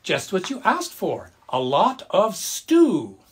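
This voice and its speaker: A man's voice: sits high, wildly swooping pitch, slow, breathy edge, pitched above the performer's own voice, exaggerated voice. A man voicing a cheerful, muddled and obliging old Hawaiian grandfather in a children's story. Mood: pleased. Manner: hesitant "oh, eh" before explaining.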